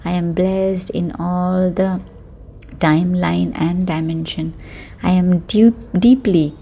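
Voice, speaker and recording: A woman guiding a sleep meditation in a soothing, unhurried manner, speaking affirmations in short phrases with long pauses. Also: fairly low pitch, fairly even intonation, vocal fry, warm tone, slightly rough. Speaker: meditation guide.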